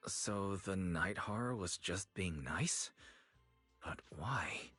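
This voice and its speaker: An actor playing a calm, curious, supportive young friend in a video game, gently asking questions in a husky voice. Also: male voice, sits low, slow, soft background music, heavily acted. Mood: concerned, puzzled. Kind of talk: video game story dialogue acting.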